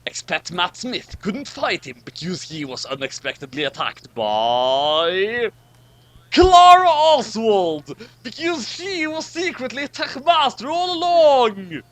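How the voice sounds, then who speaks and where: A man's voice: high voice, big pitch swings, slow pace, fairly bright tone. A man doing a dramatic, comic reading of badly written fan fiction, often stumbling over the wording.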